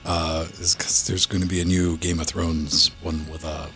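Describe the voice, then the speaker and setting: A man's voice: low voice, level tone, normal speed, fairly bright tone, faint music behind. A man hosting a podcast, rambling casually with joking asides. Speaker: podcast host.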